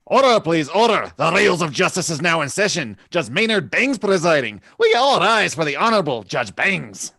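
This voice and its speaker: Gravelly man announcing like a bailiff. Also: on the high side, very animated, normal speed, hardly any pauses, dry recording, studio quality. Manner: loud, announcing. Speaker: court bailiff in a mock trial. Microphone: video call.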